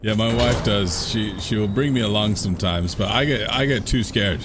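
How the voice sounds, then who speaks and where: A man's voice: lowish voice, normal speed, noisy background. A man from London streaming a game live, answering viewer questions in a chatty way, laughing often and repeating himself as he thinks aloud. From England, London. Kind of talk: casual live-stream chat.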